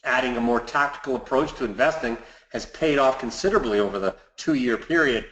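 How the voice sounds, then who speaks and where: A man's voice: mid-range voice, a little fast, a bit bright. Man presenting market commentary in echoey room. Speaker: financial advisor hosting a client webinar. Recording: roomy, echoey sound.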